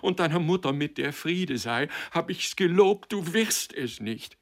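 A man's voice: deep voice, animated, fairly quick, vocal fry, heavily acted. A man voicing a desperate, fierce old mother who pleads and rages in wild, emphatic outcries in a dramatic audiobook. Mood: wild despair.

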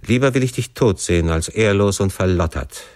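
A man narrator plays a strict, unbending father in a literary story. The voice sits low and is dry and hard. He speaks in curt, irritable commands, with a close, dry sound. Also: expressive, a little fast, a little mellow, dry recording. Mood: stern, cold.

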